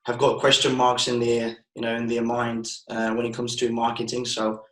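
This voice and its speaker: A man's voice: mid-range voice, monotone, moderate pace, a bit bright, roomy sound, low-quality recording. A man in his twenties from Bulgaria, a marketing guest in a casual interview, talking with many restarts and fillers. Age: 20s.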